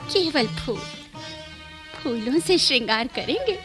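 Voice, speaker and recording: A woman's voice: high-pitched, big pitch swings, takes it slowly, over music, exaggerated voice. A woman playing a dreamy, wilful young courtesan in love, speaking poetic, formal lines in a radio play. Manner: poetic, formal, lingering delivery. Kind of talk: theatrical Hindi radio drama acting.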